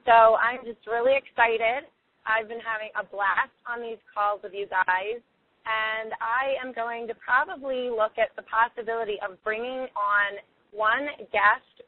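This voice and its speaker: A hoarse woman hosting a call-in coaching show, chatty and open, with a lot of "like" and "you know". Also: on the high side, no echo. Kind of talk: casual hosting on a phone and webcast call. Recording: tinny phone line.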